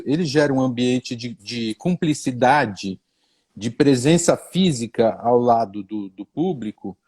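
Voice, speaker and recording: A man's voice: a little high, a little mellow. A middle-aged Brazilian man chatting as a comedian guest on a live stream, hesitant and repeating words, with wry self-mocking jokes. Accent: Brazilian Portuguese. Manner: slips into pompous old-fashioned wording. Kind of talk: casual interview chat on a live stream.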